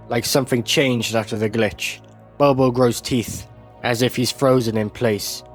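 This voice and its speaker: A man narrating a video essay in a scripted, descriptive storytelling style. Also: medium pitch. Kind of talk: scripted narration, recapping an animated series. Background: music.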